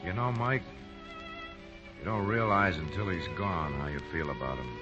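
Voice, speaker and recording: A man's voice: sits low, animated, normal speed, over music. An American man acting in a 1940s radio drama as a responsible, protective elder brother, terse and restrained. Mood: sober. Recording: dull 1940 radio recording.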